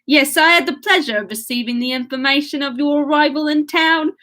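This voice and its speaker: Woman putting on a stiff, high-voiced evasive gentleman. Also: close to an everyday voice. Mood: awkward politeness. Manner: stiff, formal, measured. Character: aloof young gentleman, stiff, embarrassed, evasive.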